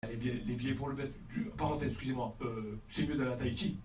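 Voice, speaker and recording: A man doing a stand-up routine, chatty and lively, calling people "brother". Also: mid-range voice, fast talker, loud music behind the voice. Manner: lively, chatty, addresses audience as "frère". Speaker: stand-up comedian. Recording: echoey hall from far off, loud crowd.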